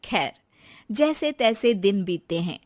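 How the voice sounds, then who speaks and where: A woman's voice: medium pitch, expressive, takes it slowly. A woman storyteller reading scripted narration for a moral story.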